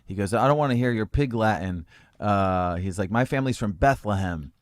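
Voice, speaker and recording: Calm, low-voiced man. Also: fairly quick. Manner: calm, even-toned, laughs often, many fillers. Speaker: interview guest, street interviewer. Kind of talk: relaxed conversational storytelling.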